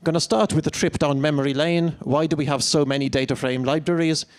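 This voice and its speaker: A man from a half Italian, half English background giving an energetic, jokey conference talk on stage into a microphone. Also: a little high, fairly quick. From half Italian, half English, lives in the UK. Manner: energetic, jokey, cheers into the mic. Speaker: conference speaker.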